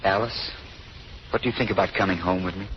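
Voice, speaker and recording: An actor playing a gentle, modest man in love in an old radio drama, tender and reflective. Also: low voice, steady tone, quick pace, natural voice. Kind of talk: 1930s-40s radio drama acting. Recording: noisy dull old radio recording.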